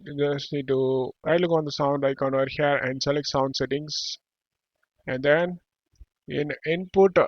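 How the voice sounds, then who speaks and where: A man hosting a step-by-step software tutorial, speaking loosely phrased non-native English. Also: on the high side, dry recording, muffled recording. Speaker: tutorial host.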